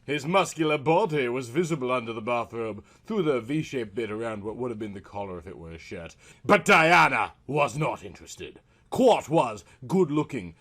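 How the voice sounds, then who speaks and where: Man storyteller, very lively pitch swings, melodramatic, mock-serious, scripted comic narration.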